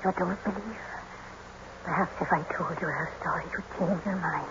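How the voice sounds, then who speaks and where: A woman's voice: on the low side, normal speed, natural voice. An actress playing a deferential, grave female manor servant in a 1950s radio drama. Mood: grave, knowing. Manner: deferential, somber. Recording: muffled, noisy old radio broadcast.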